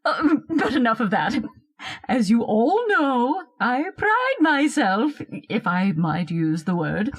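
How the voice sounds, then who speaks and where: An actress playing a pompous, bossy older society hostess in a radio play, with a theatrical, affected, self-satisfied way of talking. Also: female voice, high voice, husky, heavily acted. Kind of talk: old-time radio horror-comedy acting.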